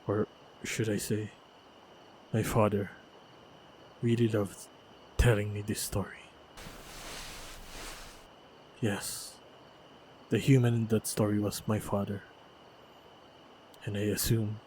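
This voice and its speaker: Guy playing a calm caring monster hunter in fantasy roleplay, lowish voice, talks slow, faint noise behind him. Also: rough recording. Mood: reflective, wistful. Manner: measured, deliberate, gentle storytelling delivery. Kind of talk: fantasy audio roleplay, spoken to the listener.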